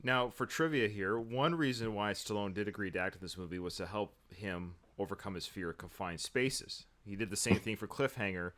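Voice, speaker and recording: A man in his forties hosting a casual podcast chat and talking with animated, fan-like enthusiasm. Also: fairly low pitch, brisk, hardly any pauses. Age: 40s. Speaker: podcast co-host.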